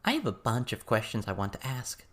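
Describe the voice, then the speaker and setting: A woman's voice: extremely low pitch, big pitch swings, fairly quick. A woman playing an inquisitive, chatty, practical teenage girl in an audiobook. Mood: eager, curious.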